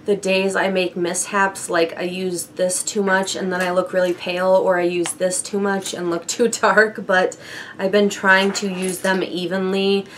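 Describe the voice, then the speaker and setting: A young woman with a froggy, hoarse voice from a chest cold, chatting casually through a beauty product update with light laughs and fillers. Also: medium pitch, flat delivery, a little slow, a little hiss or hum. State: hoarse from bronchitis. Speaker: beauty vlogger.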